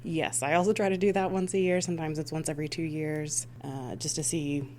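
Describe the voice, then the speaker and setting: Deep-voiced woman answering interview questions. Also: fairly even intonation, brisk, dark tone, slight background noise. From United States (Missouri and Rhode Island). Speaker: interview guest, emergency dispatch supervisor.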